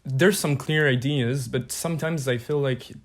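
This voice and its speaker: A man's voice: medium pitch, normal speed. Male, late teens, French accent, mid-range voice. Loose, conversational podcast guest who circles back over his words. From eastern France. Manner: informal, repeats himself while searching for words. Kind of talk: casual chat.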